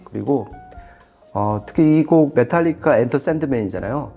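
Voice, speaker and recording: A man with a soft, dark tone talks through a product review in a conversational way. He explains his points with casual emphasis, over music. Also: medium pitch, lively intonation, moderate pace, vocal fry, breathy edge. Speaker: audio product reviewer on a shop's show.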